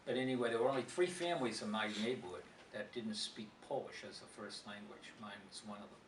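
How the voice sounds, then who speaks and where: A man's voice: on the low side, fast talker. A man, answering interview questions in a rambling, digressive way with frequent restarts. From South Boston, USA. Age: 70s. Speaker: interviewee, former politician. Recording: roomy, distant mic, noisy.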